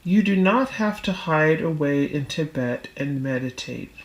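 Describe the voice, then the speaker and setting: A woman reading a self-help book aloud as scripted narration, in a deliberate, measured manner. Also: sits low, lively intonation, low-quality recording. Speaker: audiobook reader.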